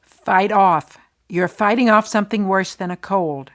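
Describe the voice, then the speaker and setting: A woman reciting phrases for a language lesson, deliberate and clearly enunciated. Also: mid-range voice, a bit bright. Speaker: phrase reader.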